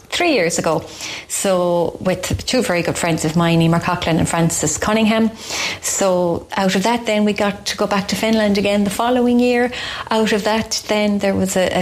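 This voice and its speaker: A woman's voice: mid-range voice, poor audio. An Irish woman, a teacher and singer, chatting as a guest in a radio interview, rambling and laughing easily. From Ireland.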